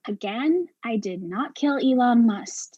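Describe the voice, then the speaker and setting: A woman acting an exasperated, sharp-tongued professional in a darkly comic mystery play, arguing with precise sarcasm. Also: fairly high pitch, lively intonation, slow pace, husky, dry recording, muffled recording, exaggerated voice. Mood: defensive, dry.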